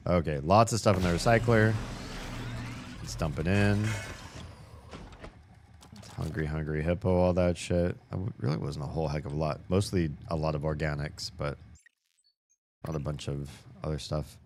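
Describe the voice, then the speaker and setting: A young man giving casual, profane running commentary while playing a video game, his voice slightly hoarse. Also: very deep voice, fairly even intonation, normal speed, pauses often, darkish tone, a little hiss or hum. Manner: chatty, swears a lot, talks to himself while playing.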